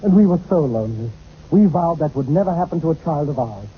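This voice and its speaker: A man's voice: on the high side, expressive, fast talker, lightly acted. A man playing a genial, whimsical father of a big brood, chatty and indulgent, in 1940s radio comedy style. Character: genial, whimsical middle-aged father of ten. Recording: muffled, noisy old radio recording.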